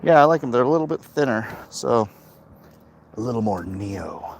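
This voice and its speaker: A middle-aged man talking casually and haltingly to his viewers in a monologue recorded while out walking. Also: medium pitch, expressive, a little hiss or hum. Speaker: comic book creator vlogging. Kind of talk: casual walk-and-talk monologue. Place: outdoors, walking along a hilly route.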